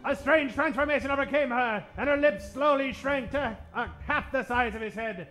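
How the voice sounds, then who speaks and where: A man narrates like a radio serial announcer, high in pitch and theatrical. His voice rings in a roomy space, with music clearly behind him. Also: moderate pace, pushed and bright. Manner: dramatic announcer delivery. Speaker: host and narrator.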